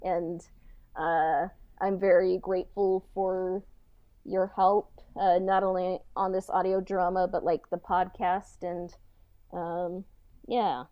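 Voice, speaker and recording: Female co-host, unhurried halting casual chat, dry room, slightly dull lo-fi microphone. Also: lowish voice, flat delivery, dry recording. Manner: halting, filler-heavy with like and you know. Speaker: podcast co-host and audio drama director. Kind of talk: casual behind-the-scenes chat.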